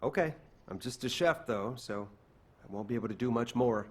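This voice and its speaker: An actor playing a reluctant but obliging chef in a video game, casual, expressive and given to griping. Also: male voice, medium pitch, moderate pace, slightly rough. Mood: grumbling.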